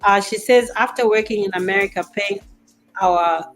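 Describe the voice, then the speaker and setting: A woman in her fifties hosting a casual talk show, teasing and animated as she reads out viewer comments. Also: mid-range voice, normal speed, faint music behind, poor audio. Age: 50s. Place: in America, away from her home country.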